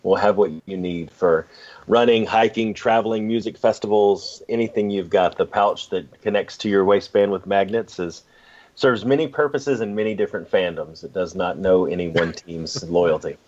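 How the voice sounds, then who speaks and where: A man's voice: deep voice, fairly even intonation, moderate pace, a little mellow. A man co-hosting a sports podcast with casual, dry banter. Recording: dull lo-fi audio.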